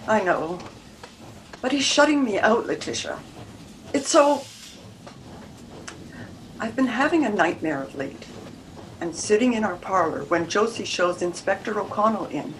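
A woman's voice: medium pitch, expressive, unhurried, long pauses, close to an everyday voice, low-quality recording. An older woman acting a troubled, independent-minded married lady of the Edwardian era in a radio mystery, with formal, careful diction. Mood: distressed. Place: room at home, video call. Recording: video call with background noise.

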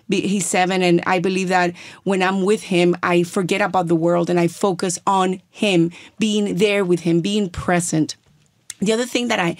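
A woman's voice: fairly low pitch, dry recording, studio quality. A middle-aged woman from the Dominican Republic with a Dominican accent, speaking earnestly and encouragingly as a podcast guest, repeating phrases for emphasis. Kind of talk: podcast interview, mixing Spanish and English.

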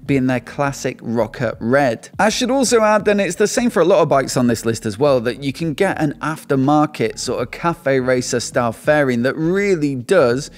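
A man's voice: mid-range voice, normal speed, hardly any pauses. A man, hosting an upbeat scripted motorcycle review and sponsor read at a studio desk mic. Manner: upbeat, lively scoring delivery. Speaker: motorcycle channel host. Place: small indoor studio with shelves. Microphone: desk mic on stand in front of him.

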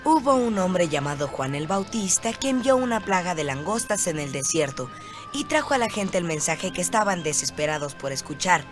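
A woman's voice: fairly low pitch, animated, moderate pace. A woman narrating a Bible cartoon in a scripted storytelling style. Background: background music.

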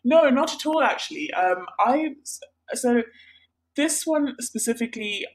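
A young woman from Sri Lanka, a healthcare researcher, answering interview questions in a bright, chatty way with many pauses and restarts. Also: fairly high pitch, lively intonation, breathy edge, low-quality recording. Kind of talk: casual interview.

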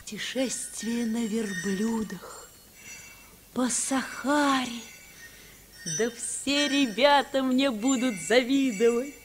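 A man's voice: extremely high pitch, slow, soft background music. A man playing an eager, curious young boy in a children's radio play, wide-eyed and full of questions. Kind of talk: mid-century Soviet children's radio play acting.

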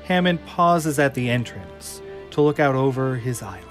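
A man narrating the scene directions of an audio drama in an even, descriptive manner. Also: medium pitch, moderate pace, faint music behind. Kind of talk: scripted narration of stage directions.

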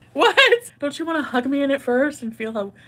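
A woman's voice: high-pitched, moderate pace. A woman in her thirties doing a casual clothing haul at home, rambling and giggly, often joking at her own expense. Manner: rambling, giggly, self-deprecating. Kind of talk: casual haul vlog chat. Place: indoor bedroom at home.

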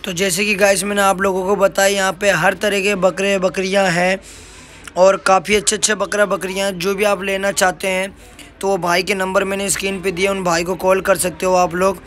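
A man's voice: sits high, level tone, moderate pace, fairly bright tone. A man vlogging an interview on a goat farm, eagerly affirming what he hears and addressing his viewers. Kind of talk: casual vlog interview.

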